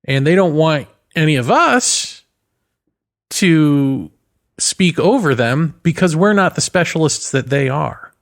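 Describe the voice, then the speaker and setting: A man delivering an opinionated philosophical monologue in a sardonic way, leaning on rhetorical questions and emphatic repetition. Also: mid-range voice. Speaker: commentator delivering a solo monologue.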